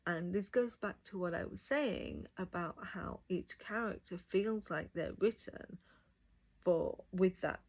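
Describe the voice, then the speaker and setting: A woman's voice: lowish voice, husky. A woman giving a casual, enthusiastic review, talking directly to viewers. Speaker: audiobook reviewer.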